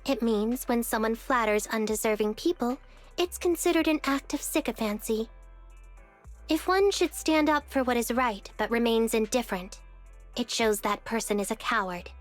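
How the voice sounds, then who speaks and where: A woman playing a principled, composed young public security officer in a video game, formal and measured like a teacher. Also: a little high, soft background music, natural voice. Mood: calm, resolute. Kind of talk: video game character acting.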